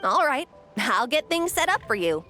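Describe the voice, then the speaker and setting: A cautious, earnest young game heroine, friendly and expressive. Her voice is high and very melodic in its movement. Music plays faintly underneath. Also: moderate pace, heavily acted. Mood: supportive. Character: earnest, cautious young female arena organizer. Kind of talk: video game dialogue acting.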